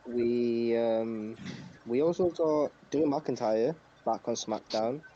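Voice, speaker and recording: A man's voice: mid-range voice, slow, mellow. A man co-hosting a casual wrestling review podcast, talking emphatically and swearing now and then. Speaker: podcast co-host. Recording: dull lo-fi mic, clear background noise.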